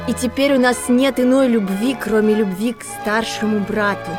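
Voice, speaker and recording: A woman's voice: fairly high pitch, fairly even intonation, normal speed, natural voice. An actress playing a zealous woman party propagandist, declaiming fervently in an audio drama with music behind her. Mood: devout zeal. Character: zealous female party propagandist denouncing vice. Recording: clear music bed under voice.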